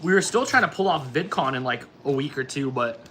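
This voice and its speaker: Male streamer, 40s, bright edgy tone, casual off-the-cuff chat, noticeable background noise. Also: mid-range voice, lively intonation, a little fast. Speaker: livestream host. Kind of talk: casual chat with live viewers.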